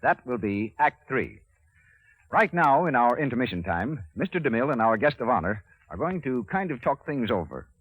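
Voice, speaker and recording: A man's voice: mid-range voice, big pitch swings, moderate pace, slightly rough, no echo. A man working as a radio announcer, reading scripted links in the style of the 1930s. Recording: muffled vintage 1930s radio broadcast.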